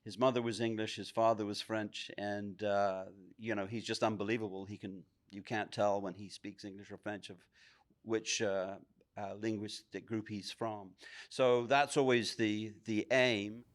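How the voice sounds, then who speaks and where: A man in his sixties speaking in a relaxed, reflective way in an interview, recorded on a stand mic in a curtained studio. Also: lowish voice, unhurried. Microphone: stand mic close by.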